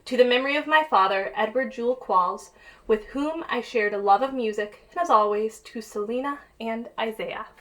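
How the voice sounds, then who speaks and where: A woman's voice: on the high side, moderate pace. A woman teacher reading a picture book aloud to young pupils, addressing them directly and encouragingly with questions and asides, on a home-made recording.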